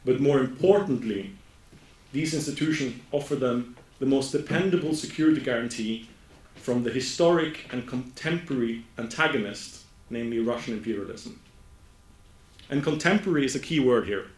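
A Norwegian-accented man delivers an academic talk at a middle pitch, measured and deliberate, sometimes stopping to fix a word. His voice rings around an echoey room. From Norway. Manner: slow, careful delivery with frequent self-corrections. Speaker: academic speaker. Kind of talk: conference presentation.

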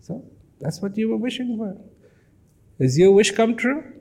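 A man's voice: high-pitched, expressive, measured pace, a little mellow, breathy edge. A man giving a reflective talk to an audience, repeating phrases for emphasis and dropping at times to a near whisper. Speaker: speaker.

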